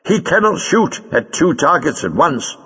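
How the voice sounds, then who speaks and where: A man's voice: fairly high pitch, sing-song, unhurried, bright, forceful tone, breathy edge, big-room sound, lo-fi sound, voice pushed up, exaggerated voice. A man voicing a confident, practical young woman warrior in an audiobook, matter-of-fact in manner.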